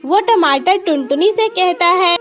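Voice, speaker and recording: A woman's voice: very high-pitched, lively intonation, measured pace, fairly bright tone, faint music behind. A woman narrating a scripted children's cartoon story.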